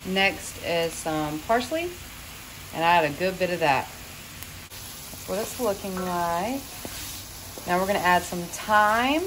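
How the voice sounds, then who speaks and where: A woman, chatting informally and folksily through a home cook-along. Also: medium pitch. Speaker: home cooking vlogger. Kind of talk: casual cook-along narration. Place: home kitchen, indoors. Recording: clear sizzling and kitchen noise. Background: frying sizzle, kitchen noise.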